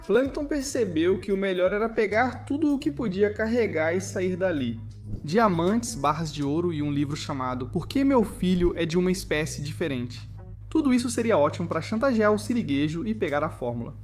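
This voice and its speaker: Brazilian narrator reading a scripted cartoon recap, middle pitch, music playing behind. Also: expressive, normal speed, a little mellow. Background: background music.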